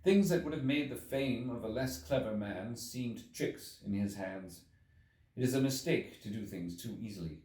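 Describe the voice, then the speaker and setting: A man reading a literary audiobook expressively, with measured, theatrical phrasing. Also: medium pitch, animated, a little mellow. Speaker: audiobook reader. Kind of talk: expressive scripted literary narration. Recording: echoey room, distant from the mic.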